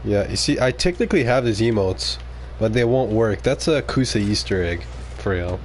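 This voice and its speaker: Man, native French speaker, live game streamer, lowish voice, casual running commentary, faint background hiss. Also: expressive, moderate pace. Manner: casual, reacting aloud to the game, muttering asides.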